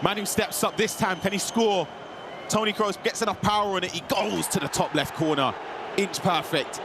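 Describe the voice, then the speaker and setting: A man co-commentating an esports football match with energetic, exclaiming delivery. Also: sits high, normal speed, a crowd in the background, background noise. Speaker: esports co-caster. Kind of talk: sports commentary.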